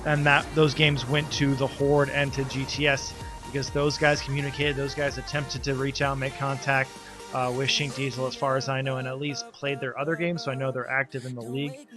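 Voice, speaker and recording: A man with a stuffy, congested voice gives a loose, halting recap as league organizer, with many ums. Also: mid-range voice, flat delivery, over music. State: congested, coming down with a cold. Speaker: league organizer and team player. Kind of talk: casual spoken recap.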